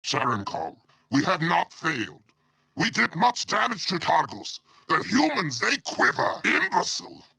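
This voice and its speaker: Gravelly, growling monster warlord. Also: male voice, fairly high pitch, wildly swooping pitch, sharp tone, no room sound, heavily acted. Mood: sneering menace. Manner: menacing, contemptuous, slow, drawn-out threats. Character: brutal, boastful monster warlord leading an army. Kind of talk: video game villain voice acting.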